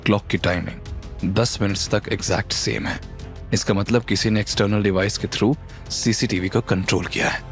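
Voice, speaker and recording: A man playing a cold, controlling businessman in an audio drama, measured and mocking, slipping English phrases into his speech. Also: lowish voice, fairly even intonation, voice pushed down, natural voice. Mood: cool, focused. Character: cold, sarcastic, controlling businessman with dangerous calm. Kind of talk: dramatised audio series reading. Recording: music bed under the voice.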